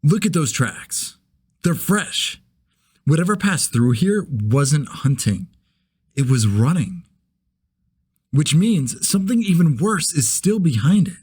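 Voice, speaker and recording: An alert, excited male tracker on a dangerous trail, acted by a man. His voice is raised high with urgency and a slightly husky edge, in a dramatic, wary delivery. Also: slow, exaggerated voice. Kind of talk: dramatic character demo read.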